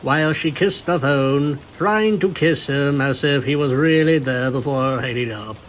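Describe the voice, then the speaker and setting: A man's voice: medium pitch, measured pace, a little hiss or hum. A man narrating a scripted story as a fan fiction reader.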